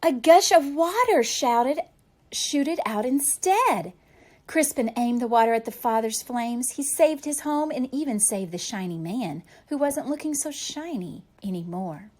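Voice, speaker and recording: A woman reading a children's picture book aloud as a storyteller, with expressive, deliberate delivery for young listeners. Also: fairly high pitch.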